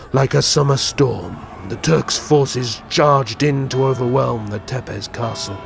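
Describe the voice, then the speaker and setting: A man playing a grave vampire prince, solemnly recounting his past in a formal, measured audio drama style. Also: mid-range voice, gravelly. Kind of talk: dramatic first-person audio drama narration.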